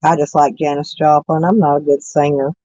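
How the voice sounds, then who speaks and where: A hoarse woman with stuffy sinuses, rambling folksily as she chats with live viewers from her camper van. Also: low-pitched, little pitch movement, a little fast, mellow, no echo, highs cut off. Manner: rambling, folksy, self-correcting. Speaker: vlogger chatting with live viewers. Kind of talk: casual morning chat.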